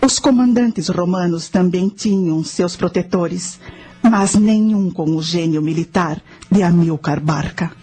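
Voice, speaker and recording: An actress playing a knowing spirit guide, telling ancient history and teaching in a measured, solemn way in a radio drama. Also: female voice, lowish voice, mellow, breathy edge. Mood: instructive. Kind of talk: scripted radio drama narration.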